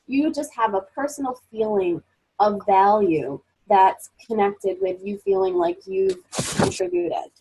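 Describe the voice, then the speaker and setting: A woman's voice: on the high side, very animated, measured pace, creaky, dark tone, slightly rough, low-quality recording. A woman presenting a motivational webinar as a life coach, encouraging and upbeat, sounding a little froggy as she gets over a cold.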